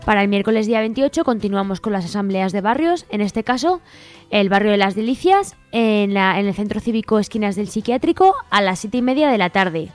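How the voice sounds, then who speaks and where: A woman's voice: mid-range voice, expressive, a little fast. A woman with an accent from Spain presenting a radio events listing, read out as announcements. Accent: Spanish from Spain. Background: music bed.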